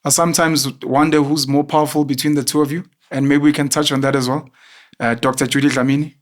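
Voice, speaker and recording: A man's voice: fairly high pitch, flat delivery, fast talker. A man hosting an interview, talking in an effusive, chatty and admiring way. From South Africa. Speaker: host. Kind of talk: interview conversation.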